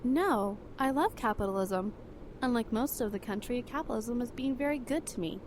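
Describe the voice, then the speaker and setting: A woman playing a haughty, sharp-tongued young 1930s heiress, formal and dismissive, in a noir audio drama. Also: a little high, animated, moderate pace, slight background noise, natural voice. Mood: cool, teasing.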